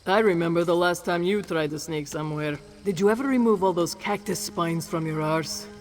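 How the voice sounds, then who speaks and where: A man's voice: fairly high pitch, normal speed, lightly acted. A man voicing a blunt, quarrelsome adventurer in a video game, dry and mocking. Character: Casca, sarcastic, blunt, quarrelsome adventuring companion. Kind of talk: video game character dialogue.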